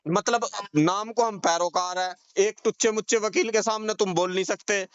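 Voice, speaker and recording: A man's voice: sits high, fairly even intonation, normal speed, smooth, no echo. A man arguing heatedly on a recorded phone call, insistent, accusing and repeating his points in colloquial speech. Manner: confrontational, insistent, accusing, repetitive.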